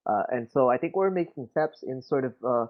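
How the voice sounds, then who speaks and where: A man from Quebec with a French accent, musing aloud in English, halting and thoughtful, often restarting his sentences. His mid-pitched voice comes through a narrow, tinny voice-chat connection. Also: animated. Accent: Québécois French. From Quebec City, Canada. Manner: hesitant, many fillers and restarts, thoughtful. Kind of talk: casual discussion.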